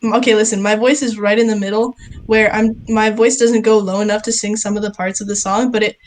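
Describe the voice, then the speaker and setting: A woman's voice: medium pitch, level tone, brisk. A young woman co-hosting a student podcast, chatting casually and rambling with lots of fillers and giggles. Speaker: podcast co-host. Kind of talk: casual chat.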